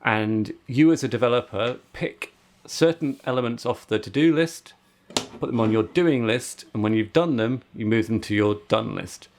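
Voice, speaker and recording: A man's voice: mid-range voice, moderate pace, a little hiss or hum. A man chatting casually as a podcast co-host, with an explanatory way of talking.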